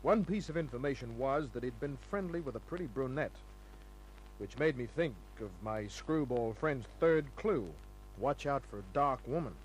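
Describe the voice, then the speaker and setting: Private eye played by a man: mid-pitched, wry and laconic, breezy tough-guy delivery, faintly noisy vintage radio sound. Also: close to an everyday voice. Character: wisecracking hard-boiled male private detective. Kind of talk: old-time radio acting and first-person narration. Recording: noisy humming old radio recording.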